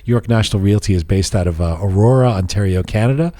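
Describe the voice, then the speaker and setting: A man hosting a podcast, joking and animated in casual chat and reading sponsor spots. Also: on the low side, fast, dry recording. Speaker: podcast host.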